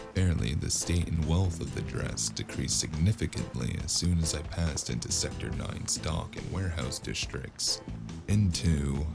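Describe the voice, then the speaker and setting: A man's voice: rumbling low, creaky, full character voice. An actor playing a wry, world-weary cyberpunk freelancer who narrates his own noir tale in a sardonic, musing way. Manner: sardonic, musing, drawn-out first-person storytelling. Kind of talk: noir audio drama narration. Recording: music behind the voice.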